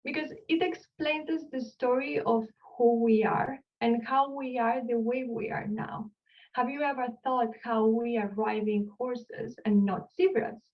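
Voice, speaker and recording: Spanish-accented woman, friendly explainer on a video call, slightly high voice, dull audio from a distance. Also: fairly even intonation, measured pace. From Spain, Barcelona. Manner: friendly, explanatory, asks the audience questions. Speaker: archaeologist giving an online lecture. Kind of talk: online lecture.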